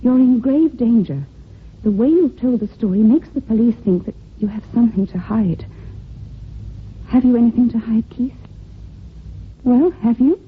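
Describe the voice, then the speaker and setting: A woman's voice: on the high side, moderate pace, long pauses, husky. An actress playing an earnest, insistent secretary who presses her boss in an old-time radio drama. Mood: urgent pleading. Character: earnest, urgent secretary building a case, protective of her boss. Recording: tinny old radio recording.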